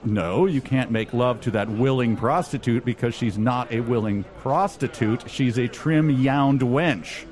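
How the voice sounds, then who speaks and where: A man's voice: medium pitch, unhurried, few pauses, darkish tone, lightly acted. A man voicing a fussy, rule-bound tavern attendant in a comedy sketch, officious, pedantic and mock-polite. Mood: pedantic, firm.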